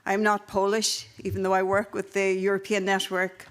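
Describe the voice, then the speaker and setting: A woman with an Irish accent moderating a conference panel, measured and steady, with frequent fillers and restarts. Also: mid-range voice, clean tone. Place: conference hall.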